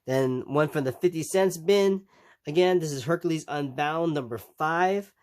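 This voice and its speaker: A man's voice: on the high side, moderate pace, a little mellow. A man with a nasal voice from a recent cold, casually showing his purchases in a rambling vlog with many ums and restarts. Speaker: comic collecting vlogger. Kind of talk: casual show-and-tell vlog.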